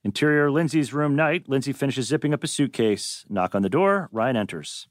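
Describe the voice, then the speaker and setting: A man's voice: medium pitch, expressive, normal speed, no echo, studio quality. A man reading stage directions as scripted narration at a table read.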